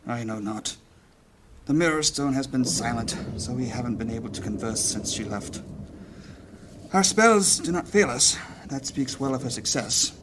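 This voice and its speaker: A man playing a firm, weighty leader of a mage guild in a fantasy audio drama, formal and deliberate. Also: medium pitch, lively intonation, noisy background, close to an everyday voice. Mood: grave, commanding.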